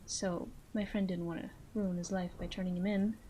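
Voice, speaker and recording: A woman playing a flirty, menacing female mob boss in a roleplay audio drama, teasing and deliberate, with breathy asides. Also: fairly low pitch, flat delivery, dark tone, slight background noise, close to an everyday voice. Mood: smooth, businesslike.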